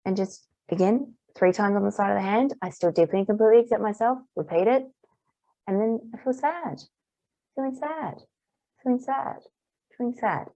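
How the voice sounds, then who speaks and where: A woman practitioner calmly guiding a tapping exercise in repeated phrases, her voice a little hoarse. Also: medium pitch, normal speed, no echo. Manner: calm, repetitive guiding phrases. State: slightly hoarse, clearing throat. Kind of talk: guided tapping lesson. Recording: dull, muffled dry recording.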